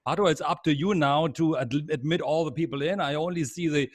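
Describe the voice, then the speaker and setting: Man, German accent, online trainer, energetic and repetitive, slightly high pitch, dry computer mic. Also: brisk, breathy edge, dry recording. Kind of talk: live online training seminar. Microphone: computer mic on a video call.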